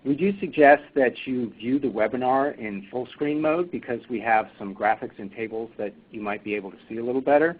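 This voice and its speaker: Man, husky, halting webinar moderator, tinny phone-line sound. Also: medium pitch. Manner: halting, many fillers and restarts. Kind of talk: webinar presentation.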